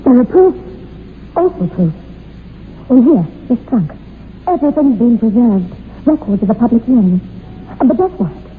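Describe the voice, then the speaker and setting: A woman playing a haunted, devoted young woman in an old radio horror drama, with dramatic, halting emphasis. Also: on the high side, very animated. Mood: intense, fearful. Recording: tinny, noisy old radio recording.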